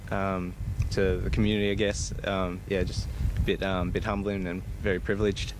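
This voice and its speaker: A man's voice: low-pitched, little pitch movement, normal speed. A teenage Australian male student giving an informal interview in a hesitant, modest manner. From Torquay, Victoria, Australia. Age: teens, about 17 to 18. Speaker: student school captain, interviewee. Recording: noisy outdoor location, traffic ambience.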